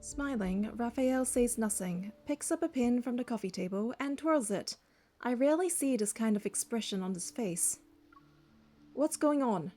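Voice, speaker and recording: A young adult woman reading game narration and dialogue aloud in an expressive storytelling style. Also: on the high side, normal speed, faint music behind.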